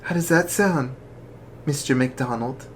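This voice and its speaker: A storyteller voicing a smug, rich young woman with a taunting manner, pitched above their own voice. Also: a little slow, slight background noise.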